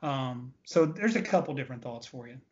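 A man's voice: mid-range voice, lively intonation, brisk. A man in his late forties giving casual, emphatic interview answers, repeating points for stress. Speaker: interview guest, veteran bodybuilder. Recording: dull, lo-fi audio.

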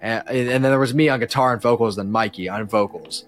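A man's voice: fairly low pitch, a little fast. Slangy, rambling man over background music. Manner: rambling, slangy, frequent false starts. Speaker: podcast guest, musician. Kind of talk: casual chat, telling stories about band life.

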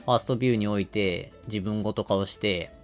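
Male podcast explainer, low and gravelly, step-by-step delivery, music underneath. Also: quick pace. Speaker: podcast host explaining marketing. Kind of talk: explanatory business podcast talk.

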